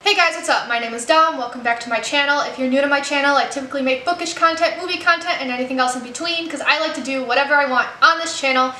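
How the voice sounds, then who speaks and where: Bright, chatty young American woman. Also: sits high, quick pace, hardly any pauses. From United States. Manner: chatty, upbeat, laughs easily. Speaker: YouTube creator. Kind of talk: casual chatty video commentary. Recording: echoey room with faint background noise.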